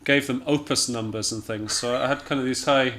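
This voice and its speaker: A man's voice: medium pitch, fairly even intonation, a little fast, a bit bright. A man from Scotland chatting casually as an interview guest, in a hesitant, understated and self-deprecating way. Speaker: interview guest, jazz pianist and musician.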